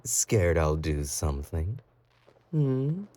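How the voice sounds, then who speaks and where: Guy acting as creepy sweet yandere boyfriend, low breathy voice, talks super slow, possessive and cooing, roleplay audio. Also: sing-song, warm tone, exaggerated voice. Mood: menacing, playful. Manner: slow, intimate, cooing with giggly menace. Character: obsessive, possessive yandere boyfriend, doting yet menacing. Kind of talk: romantic roleplay audio drama.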